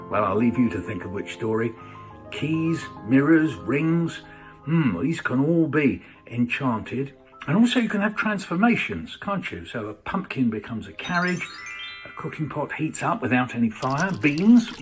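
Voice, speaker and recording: A man giving an informal educational talk in a conversational, chatty way, often posing questions to his listeners. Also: mid-range voice. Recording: roomy, distant mic with music.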